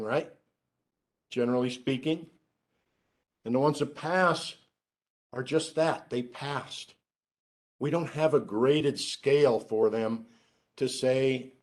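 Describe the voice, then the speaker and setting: A middle-aged man giving a seminar lecture to students, who calls his own voice monotone and drops dry joking asides while he asks the room questions. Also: medium pitch. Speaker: research scientist giving a seminar.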